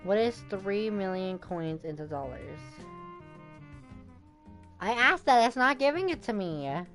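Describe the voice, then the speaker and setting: A woman's voice: medium pitch, big pitch swings, a little slow, fairly bright tone, faint music behind. A woman giving casual gameplay commentary as a game streamer, rambling and thinking aloud in informal, slangy speech.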